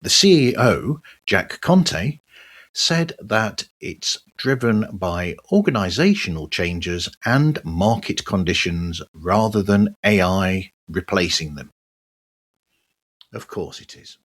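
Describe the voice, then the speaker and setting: A man's voice: low-pitched, very animated, takes it slowly, fairly bright tone. A man hosting a podcast monologue in a personal style, dropping wry, sardonic asides as he goes. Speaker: podcast host.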